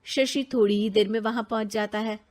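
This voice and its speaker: A woman narrating a cartoon story in a calm, matter-of-fact way. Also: mid-range voice, a little fast.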